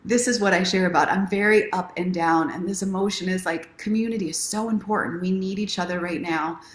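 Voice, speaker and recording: Low-pitched woman in an echoey room. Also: fairly even intonation, fairly quick. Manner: encouraging, speaks straight to viewers. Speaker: livestream host and self-help coach. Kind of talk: casual livestream chat with encouragement. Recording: roomy, echoing sound.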